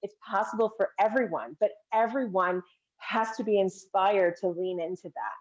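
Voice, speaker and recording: A woman's voice: medium pitch, moderate pace, highs cut off. A woman guest, a filmmaker, explaining her project in an earnest, casual way with some hesitations. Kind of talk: casual interview chat.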